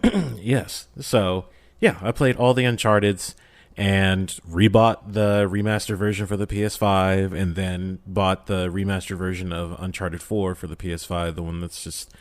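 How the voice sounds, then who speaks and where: A man's voice: low voice, fairly even intonation, moderate pace, dry recording. A man chatting casually as a podcast guest and voice actor, playful and joking with theatrical asides. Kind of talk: casual chat.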